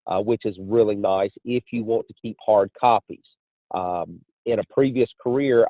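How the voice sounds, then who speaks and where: A man giving a measured, explanatory testimonial as an insurance agent on a conference call. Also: lowish voice. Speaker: insurance agent and training director. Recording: muffled phone line with room echo.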